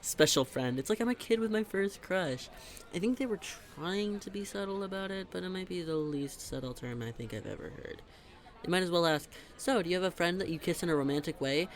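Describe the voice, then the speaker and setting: A man's voice: sits high, expressive, brisk. A young man playing a teasing, chatty best friend in a conversational audio roleplay, talking in a casual, emphatic way. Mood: weary, annoyed. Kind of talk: conversational scripted roleplay monologue.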